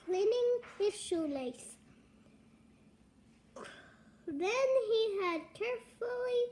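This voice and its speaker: A young girl with a very high, childlike voice reads a storybook aloud. Her pace is very slow and halting, taken word by word with small stumbles. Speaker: read-along reader.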